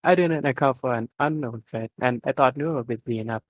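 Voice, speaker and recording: A mild male inventor, read by a man in a story. He is apologetic, hesitant and a little grumbly. The pitch is ordinary and the recording very dry. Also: fairly quick, no room sound, close to an everyday voice. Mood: sheepish.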